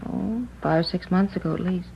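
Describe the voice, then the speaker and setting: A woman's voice: fairly low pitch, a little fast, gravelly, slight background noise. An actress playing a talkative woman clerk in a 1950s radio crime drama, speaking to detectives about a murdered friend. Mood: chatty. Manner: talkative, confiding, a little gossipy. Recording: dull old radio broadcast recording.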